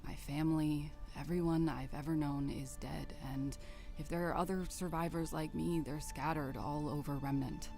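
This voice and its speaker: Lone woman warrior, curt, solemn, deep for a woman, animated series. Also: normal speed, over music. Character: lone weary woman warrior guarding the woods.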